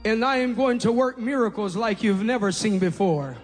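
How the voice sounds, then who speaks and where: A high-pitched man whose first language is Portuguese preaches with rising fervor, his non-native English plain in the phrasing. His voice rings out in a large hall with a long echo. Soft music hums faintly behind him. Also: normal speed. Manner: impassioned preaching, rising to shouts. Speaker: pastor. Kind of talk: Pentecostal sermon.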